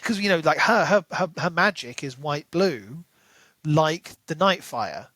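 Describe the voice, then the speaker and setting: A man's voice: on the high side, animated, unhurried, fairly bright tone, no room sound. Questioning man in a very dry recording. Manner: questioning, analytical, conversational. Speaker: podcast co-host. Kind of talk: casual book discussion.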